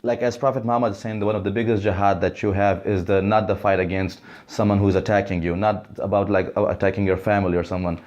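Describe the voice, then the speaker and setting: A young man from Afghanistan, a student speaking calmly and measuredly in an interview, pausing on fillers. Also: fairly low pitch, dull audio. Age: young adult. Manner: calm, hesitant with fillers, measured. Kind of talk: interview testimony.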